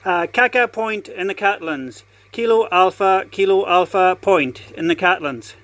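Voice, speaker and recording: A man's voice: high-pitched, a little slow, a bit bright. A man with a New Zealand accent making friendly, informal amateur radio calls with call signs and phonetic spelling.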